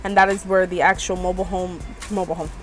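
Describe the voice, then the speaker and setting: A woman's voice: lowish voice, flat delivery, fast, faint music behind. A woman beauty vlogger with a stuffed-up, congested voice, chatting casually and rambling with lots of ums while she shows her purchases. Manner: chatty, rambling, many ums and "you guys". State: stuffed up from allergies. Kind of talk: casual haul chat. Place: small RV room.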